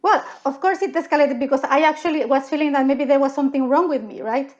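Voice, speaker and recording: A woman from Mexico talks earnestly on a discussion panel, stumbling over repeated words and tagging her points with "right?". Her voice sits high, and the recording sounds slightly dull and muffled. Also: quick pace. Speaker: panel speaker.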